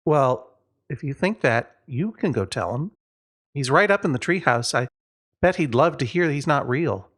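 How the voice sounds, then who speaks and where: A man's voice: mid-range voice, lively intonation, moderate pace, fairly bright tone. A man voicing a glum young storybook boy who gives dry, matter-of-fact, slyly goading warnings.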